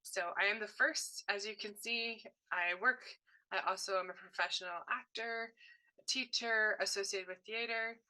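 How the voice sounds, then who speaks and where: A woman's voice: medium pitch, fairly even intonation, moderate pace, bright, forceful tone, low-quality recording. A woman voicing a deaf presenter's signed workshop talk in short, broken phrases, often correcting herself mid-sentence. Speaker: sign language voice interpreter.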